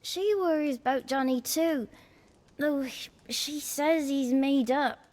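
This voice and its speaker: High-voiced, wary peasant child. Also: female voice, darkish tone. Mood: wary, worried. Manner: halting, plain rustic speech. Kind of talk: video game cutscene acting.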